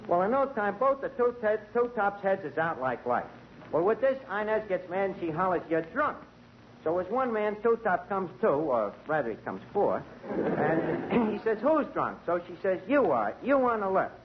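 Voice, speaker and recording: A man tells a tall tale in a high, bouncy voice, tripping over fancy words like a street-smart tavern manager. It is an old 1940s radio comedy broadcast, dull and lo-fi with faint hiss. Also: very animated, moderate pace. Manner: tough-guy storytelling with malapropisms. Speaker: tavern manager character, lead comic.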